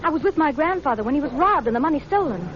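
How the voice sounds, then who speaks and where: A woman's voice: sits high, animated, racing, crowd noise. A woman playing an anxious, insistent young lady in a 1940s radio drama, earnest and pleading. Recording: muffled vintage radio, clear background noise.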